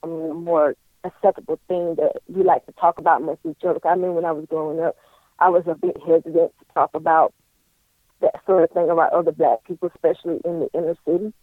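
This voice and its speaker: Thirties man, high voice, relaxed and thoughtful, heard over a thin phone line. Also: dry recording. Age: 30s. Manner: easygoing, thoughtful, halting with fillers. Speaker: guest. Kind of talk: casual interview chat.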